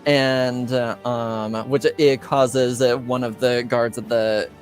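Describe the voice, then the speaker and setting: A man serves as game master, improvising the scene at a slow pace. His delivery halts often, packed with filler words, and his pitch sits in a middle range. Faint music plays behind him. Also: flat delivery. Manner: halting, hesitant delivery with very frequent filler words. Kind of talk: improvised narration of a tabletop roleplaying game.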